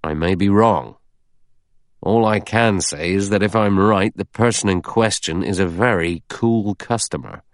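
A man playing a stolid, cautious former police inspector in an audiobook, deliberate and guarded. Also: deep voice, no room sound, studio quality. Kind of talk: audiobook character dialogue.

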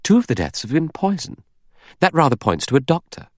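Guy doing a sharp young woman for an audiobook, pushy n brisk, pitched up. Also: very animated, dry recording, clean studio sound. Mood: insistent. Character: sharp, insistent young woman, suspicious and impatient. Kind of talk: audiobook character dialogue.

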